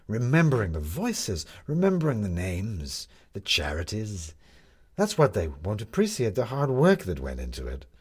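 A man's voice: lowish voice, huge pitch swings, moderate pace, husky, full character voice. A man voicing a bitter, proud, talkative male con artist in an audiobook, with emphatic, rhetorical delivery. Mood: weary, defensive.

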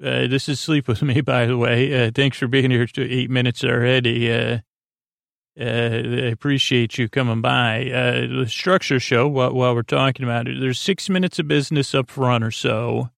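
A man hosting a bedtime podcast in a creaky voice, meandering and halting, often stammering and repeating himself in a soothing, friendly way. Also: mid-range voice, fairly even intonation, a bit bright, no echo, studio quality. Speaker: podcast host. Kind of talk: rambling bedtime monologue.

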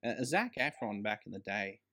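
A man's voice: lowish voice, lively intonation, normal speed, pushed and bright. An Australian man co-hosting a podcast, discussing films casually and enthusiastically with emphatic, sweeping claims. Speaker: podcast co-host.

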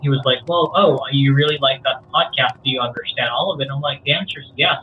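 A man in his thirties whose first language is Bisaya, speaking English in a quick, chatty way as a guest on an interview podcast. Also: medium pitch, dull audio, slight background noise. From Philippines, grew up partly in the Middle East. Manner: quick, chatty, self-correcting. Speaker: guest, visual effects artist and comics co-founder. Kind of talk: casual interview talk.

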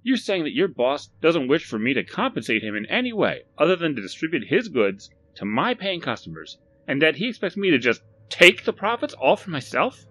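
A chatty, folksy shopkeeper pitches his wares in a raised, lively voice, as a male narrator puts him on. He talks like a friendly salesman, eager and a little pushy. The lively swing of his voice keeps him sounding keen throughout. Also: fairly quick, hardly any pauses, poor audio. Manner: folksy, talkative.

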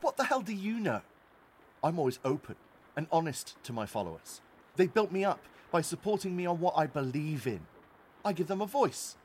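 An actor playing a sanctimonious young activist influencer held captive, preachy and indignant, in an audio drama. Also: male voice, on the high side, big pitch swings, normal speed, darkish tone, slight background noise. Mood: self-righteous.

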